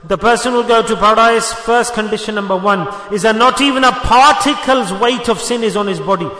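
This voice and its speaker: High-voiced male preacher in echoing hall. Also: brisk, bright, forceful tone. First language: Urdu. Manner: exhorting, rhetorical questions and repetition. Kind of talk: sermon. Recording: echoey hall with long reverb.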